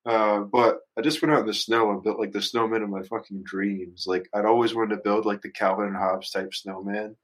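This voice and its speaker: A man in his early twenties with a fairly low voice talks as a musician being interviewed. He is casual and reflective, wandering through his thoughts in youthful slang. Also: level tone, brisk, hardly any pauses. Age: early 20s. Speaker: musician guest.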